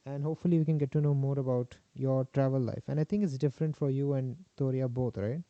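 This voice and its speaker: A man's voice: mid-range voice, fairly even intonation, fast talker, no echo. A man hosting a casual podcast interview, asking questions with many hesitant fillers. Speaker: podcast host. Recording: dull lo-fi sound.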